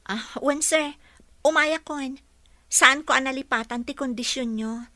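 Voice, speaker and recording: A woman plays a young housekeeper in a radio drama. She is respectful yet sure of herself, with a bright, fairly high voice recorded dry and close. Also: measured pace, no echo. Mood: deferential. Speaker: voice actress in a radio play. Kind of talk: Ilocano radio comedy drama acting.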